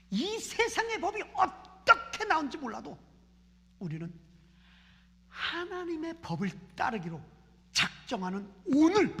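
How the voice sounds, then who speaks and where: Woman pastor preaching hard, bright lively voice, big echoey church sound. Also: fairly high pitch. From Seoul, South Korea. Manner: fervent, repeats phrases in rising prayer chants. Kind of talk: impassioned sermon. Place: church sanctuary. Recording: reverberant church hall.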